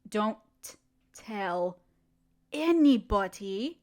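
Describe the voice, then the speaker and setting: A woman's voice: mid-range voice, lively intonation, very slow. A woman voicing a proud, cold evil queen who rules an empire, speaking in a haughty, clipped way that turns reluctantly tender. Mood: haughty, wistful. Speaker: voice actor in an audio drama. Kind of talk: audio roleplay acting.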